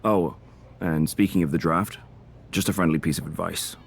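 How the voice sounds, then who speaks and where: A man's voice: deep voice, normal speed, creaky, a little hiss or hum. A man voicing a male wrestler in a backstage chat who is friendly but needling. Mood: sly. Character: sly male wrestler scheming over a draft pick. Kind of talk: backstage conversation.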